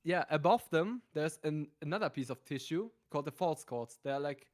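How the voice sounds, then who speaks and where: Calm, matter-of-fact male stream guest. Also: on the high side, moderate pace. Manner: calm, matter-of-fact, explains vocal technique. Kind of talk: casual chat.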